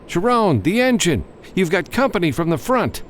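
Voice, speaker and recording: Give this audiobook hero, played by a male narrator, a gruff, guarded edge. He is a stubborn Mars gunslinger with terse phrasing and lively swings in pitch. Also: moderate pace, a little hiss or hum. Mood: urgent shouting. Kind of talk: audiobook character dialogue.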